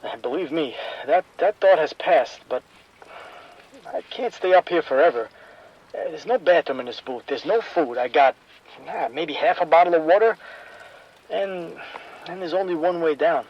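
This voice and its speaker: Panicky trapped DJ, stammering, higher register, radio drama. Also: male voice, moderate pace, pauses often, highs cut off. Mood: nervous. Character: terrified nightclub DJ trapped in his booth.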